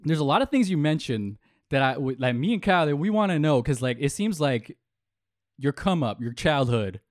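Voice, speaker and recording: A man's voice: fairly high pitch, very animated, normal speed, no echo, clean studio sound. A man hosting a casual podcast chat in an easygoing, joking way, with frequent restarts. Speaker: podcast host.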